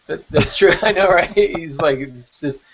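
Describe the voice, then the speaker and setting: Creaky-voiced man hosting, dull lo-fi audio. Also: fairly high pitch, unhurried, crowd noise. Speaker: podcast host. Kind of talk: casual panel chat. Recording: dull lo-fi online call audio.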